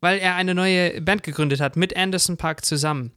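A man's voice: high voice, steady tone, a little fast, bright, forceful tone, clear, resonant tone, no echo, studio quality. A man co-hosting a podcast in casual, enthusiastic chat, mixing English words into his informal speech. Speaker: podcast co-host.

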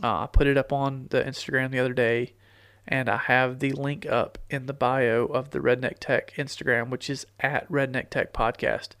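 A middle-aged man with a stuffy, congested nose talks into a podcast mic, rambling along with fillers and restarts. His voice stays smooth and clear despite the cold, and the sound is dry and close. His pitch barely moves, so the delivery runs level and even. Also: normal speed, no room sound. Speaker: podcast host. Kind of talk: casual solo podcast talk. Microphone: studio podcast microphone.

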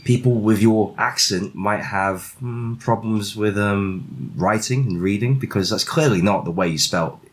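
A man hosting a comedic casual chat, talking in a deadpan, mock-serious way. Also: fairly low pitch, unhurried, few pauses.

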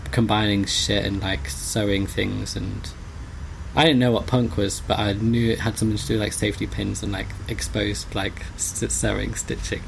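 A man chats reflectively as an interview guest, rambling and halting as he goes. His voice has a gravelly fry to it. There is a light layer of background noise behind him. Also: lowish voice, rough recording. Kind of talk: casual reflective conversation.

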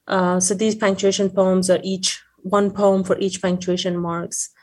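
A woman's voice: mid-range voice, little pitch movement, unhurried. A woman from Afghanistan, a native Persian speaker with an Afghan accent, giving reflective interview answers as a poet, often searching for her words.

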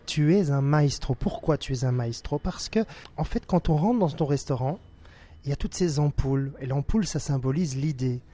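Male interviewer, slightly high, mellow tone, flattering, effusive. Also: moderate pace. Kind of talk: interview host.

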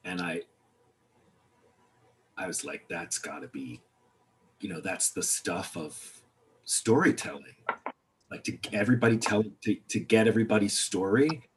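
A playwright talking about his work on a video call, reflective and halting, restarting his sentences often. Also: deep voice, slight background noise, poor audio. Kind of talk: panel discussion over video call.

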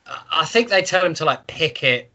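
A man's voice: on the high side, lively intonation, moderate pace, gravelly, sharp tone, highs cut off. A man co-hosting a podcast, casually discussing a book in an informal, sweary way. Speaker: podcast co-host.

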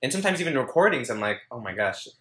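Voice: medium pitch, sing-song, rapid-fire, fairly bright tone, roomy sound. A host interviewing a singer in a bubbly, giggly way, with many fillers. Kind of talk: casual interview chat.

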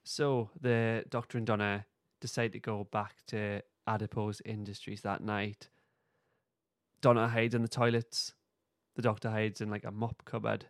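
A man's voice: fairly low pitch, flat delivery, normal speed, no echo, studio quality. A man hosting a podcast, casually recapping and discussing in an informal way. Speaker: podcast host.

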